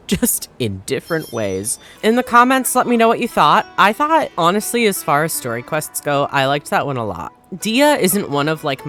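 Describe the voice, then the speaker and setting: Female gaming host, low pitch, slangy expressive commentary, conversational, clear game music behind. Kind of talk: casual reaction commentary.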